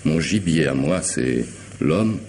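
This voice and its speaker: An actor playing a coolly polite, intelligent hired killer in a radio mystery drama, speaking deliberately and sardonically, with commanding theatrical pauses. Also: male voice, a bass voice, animated, gravelly, a little mellow, heavily acted. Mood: menacing, ironic. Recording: noisy old radio drama recording.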